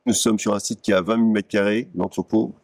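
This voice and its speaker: A man in his sixties with a low, gravelly voice, recounting his career path in a showroom interview. He speaks in a measured way, often hesitating and repeating words as he gathers his thoughts. The sound is dry and close. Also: fairly even intonation, darkish tone, dry recording. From France (Paris). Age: 60s. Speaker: company executive. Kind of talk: interview monologue, personal career story. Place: company showroom.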